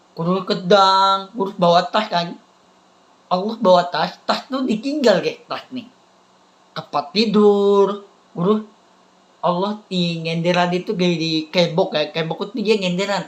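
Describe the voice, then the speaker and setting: A man chats straight to his viewers. He talks in a rambling, halting way and keeps looping back to the same few phrases. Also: high voice. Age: 30s. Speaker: vlogger. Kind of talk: casual rambling talk to viewers.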